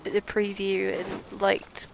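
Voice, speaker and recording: Gravelly-voiced woman streaming a game. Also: medium pitch, completely flat delivery, takes it slowly, background noise. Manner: chatty, rambling, swears mid-game. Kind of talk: casual gameplay commentary. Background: game music.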